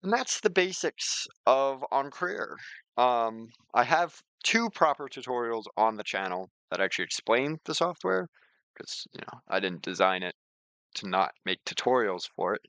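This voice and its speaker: A man streaming a casual software walkthrough, explaining things in an informal, rambling way. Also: medium pitch, big pitch swings, normal speed, clean tone, dry recording. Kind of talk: casual screen-share walkthrough and chat.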